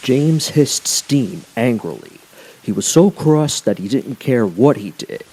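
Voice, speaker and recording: Slow, mellow man narrating a story. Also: mid-range voice, very animated, breathy edge, poor audio. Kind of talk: scripted children's story narration.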